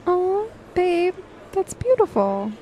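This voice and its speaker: A woman's voice: extremely high pitch, big pitch swings, takes it slowly, warm tone, a little hiss or hum, heavily acted. An actress playing a modest, affectionate, slightly guarded young woman in an audio drama.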